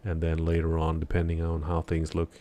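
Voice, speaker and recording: A man's voice: a bass voice, monotone, brisk, dark tone. A man live-streaming a game in the morning, chatting casually with viewers in a rambling, hesitant way full of fillers and restarts, still a bit sleepy. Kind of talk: casual live-stream chat while gaming.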